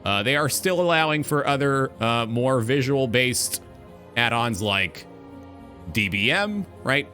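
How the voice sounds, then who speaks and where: A man's voice: mid-range voice, lively intonation, unhurried, sharp tone, faint music behind. A man hosting a gaming talk show, delivering an opinionated monologue in an emphatic, blunt, sweary way.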